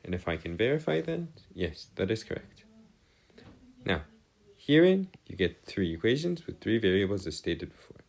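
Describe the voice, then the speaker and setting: A man giving a step-by-step math lesson as a tutor, halting and pausing to check himself, with formal, bookish wording. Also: lowish voice, sing-song, vocal fry. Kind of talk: lesson, working through equations step by step.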